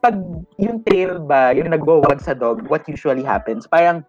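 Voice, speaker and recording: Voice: medium pitch, lively intonation, normal speed, highs cut off, soft background music. A podcast co-host with a Filipino accent who talks casually, laughs often and mixes Tagalog and English with lots of fillers. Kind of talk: casual chat.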